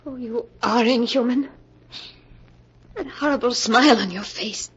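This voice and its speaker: A woman's voice: on the high side, a little slow, husky. An actress playing an anguished, accusing woman in love with a fiend, in 1940s radio melodrama style. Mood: bitter accusation. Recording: dull vintage 1940s radio recording.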